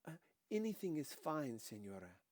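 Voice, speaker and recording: A man's voice: on the high side, big pitch swings, moderate pace, darkish tone. A man voicing a sheepish, polite young policeman in a storybook, hesitant and deferential. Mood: embarrassed.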